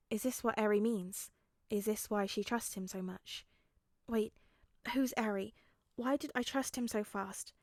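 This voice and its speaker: A woman's voice: a little high, a little mellow, no room sound, clean studio sound, close to an everyday voice. A woman voicing a wary, confused, blunt small boy with an unsure, questioning way of talking.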